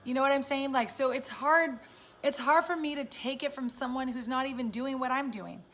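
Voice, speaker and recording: A woman with a raspy voice, chatting casually and encouragingly to viewers on a live stream from home. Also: sits high, moderate pace, fairly bright tone. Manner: chatty and encouraging, many fillers. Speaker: live-stream host and business coach.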